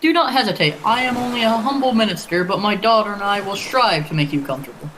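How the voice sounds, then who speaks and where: A woman's voice: mid-range voice, lively intonation, quick pace, a bit bright, a little hiss or hum, lightly acted, low-quality recording. A woman playing a humble, hospitable minister and father in an amateur radio drama, polite and formal. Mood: polite, welcoming. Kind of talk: student radio drama acting.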